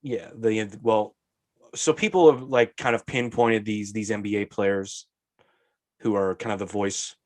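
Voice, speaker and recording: Late 30s guy w/ a head cold, lowish voice, blunt and swears a lot, dry close mic. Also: fairly even intonation, measured pace, dry recording. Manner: blunt, profane and offhand. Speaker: podcast co-host. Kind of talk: casual chat.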